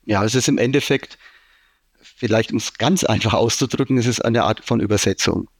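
A fairly low-voiced man with a Franconian German accent talks as a guest in an interview, explaining at length and filling his pauses with ums. His voice is recorded close and dry, with no room sound. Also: no room sound. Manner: explains at length with frequent fillers. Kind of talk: casual business interview.

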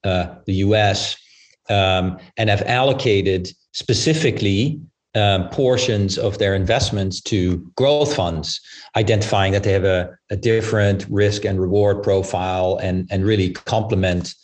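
Lowish male voice, investor guest on a panel, explaining stuff, kinda halting and careful. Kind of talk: unscripted panel interview answers.

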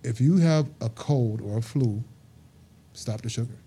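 Man, 50s, truck-driver guest; earnest and emphatic, very mellow dark tone, mid pitch. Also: measured pace, breathy edge. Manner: earnest, emphatic, repeats himself. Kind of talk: casual interview talk.

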